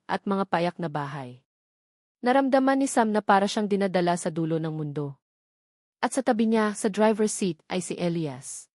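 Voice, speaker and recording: A woman's voice: medium pitch, a little mellow, no echo, studio quality. A woman with a Filipino accent giving a dramatic scripted narration of a romance story as an audiobook narrator.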